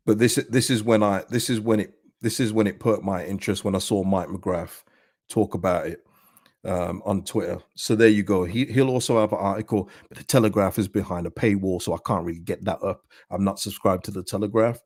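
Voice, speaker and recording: Chatty husky man, low voice. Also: steady tone, brisk, darkish tone, no room sound, studio quality. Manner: chatty, rambling, with frequent restarts and laughs. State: slightly husky voice. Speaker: football channel host. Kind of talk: casual fan commentary to camera.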